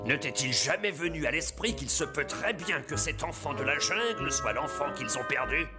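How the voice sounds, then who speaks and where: An actor playing a smooth-talking, scheming gentleman explorer in a cartoon, formal and persuasive. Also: fairly high pitch, expressive, normal speed, over loud music, natural voice. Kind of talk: cartoon dub acting.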